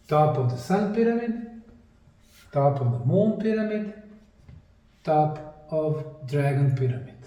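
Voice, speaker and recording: A man giving a lecture-style presentation, speaking deliberately in short, emphatic phrases with pauses. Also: fairly high pitch, warm tone. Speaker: presenter, anthropologist. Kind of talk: slide lecture presentation on sacred geometry. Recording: distant mic in an echoey room.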